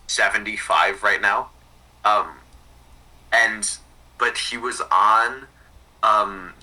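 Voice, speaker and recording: Distant, very bright-voiced man chatting tentatively. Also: fairly low pitch. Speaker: podcast guest, hobbyist archivist. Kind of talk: casual chat.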